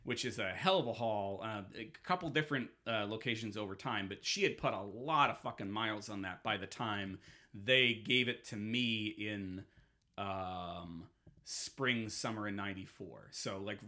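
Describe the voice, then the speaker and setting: Bright-voiced man telling rambling stories. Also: lowish voice. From Massachusetts. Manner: rambling storyteller, lots of fillers and swearing. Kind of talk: casual chat.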